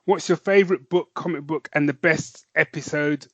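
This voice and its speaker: A man's voice: a little high, fairly even intonation, normal speed, a bit bright, no room sound, highs cut off. A man co-hosting a podcast, chatting with rambling enthusiasm and a lot of "man". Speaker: podcast co-host. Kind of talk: casual chat.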